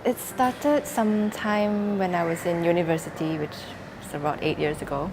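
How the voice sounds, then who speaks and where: A woman's voice: on the low side, fairly even intonation, moderate pace, noisy background. A woman in her twenties giving a matter-of-fact personal testimony. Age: 20s. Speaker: interviewee, lawyer. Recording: loud music over the voice. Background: loud background music.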